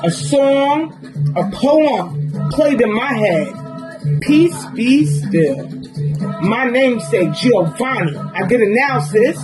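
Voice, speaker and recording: A woman from New York performing a spoken word poem with an intense, rhythmic, emphatic delivery. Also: poor audio. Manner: intense, rhythmic, emphatic delivery, swinging between low and high. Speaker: poet. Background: guitar music.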